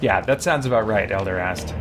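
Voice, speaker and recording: A man's voice: deep voice, brisk. A man giving casual live commentary as he plays a video game, thinking aloud in a wry way. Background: video game music.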